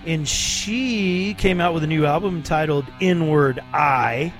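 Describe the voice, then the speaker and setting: Laid-back male radio DJ, slightly high voice. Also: slow, faint music behind. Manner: laid-back, rambling with fillers and laughs. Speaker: radio DJ host. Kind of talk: casual radio banter.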